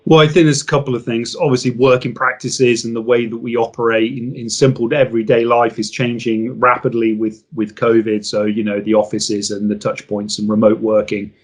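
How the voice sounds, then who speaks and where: A man speaking as an interview guest, explaining business matters in a measured, structured way. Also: medium pitch, level tone.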